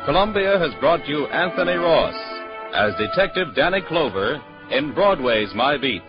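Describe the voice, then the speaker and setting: A man's voice: fairly high pitch, very animated, normal speed. A man announcing scripted credits as a network announcer in a formal 1940s radio style. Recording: muffled 1940s radio broadcast, faint music.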